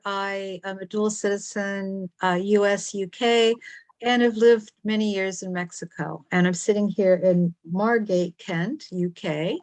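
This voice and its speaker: A woman's voice: medium pitch, no room sound. A woman, a painter and poet, casually introducing herself on a video call.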